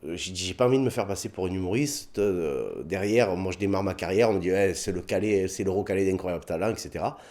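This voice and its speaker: Man, southern French accent, low voice, chatty storyteller, comedian guest. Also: fast, few pauses. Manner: animated anecdotes with put-on dialogue, many fillers. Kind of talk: casual storytelling interview.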